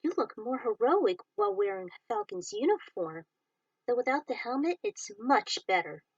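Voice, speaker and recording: A woman's voice: sits high, very animated, moderate pace, vocal fry, no echo, far from the mic, highs cut off, pitched above the performer's own voice, full character voice. A woman playing a teenage girl space cadet from a storybook. She is naive and dutiful, with a sweet, earnest way of speaking and a dry sound. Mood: admiring. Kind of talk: story character dialogue.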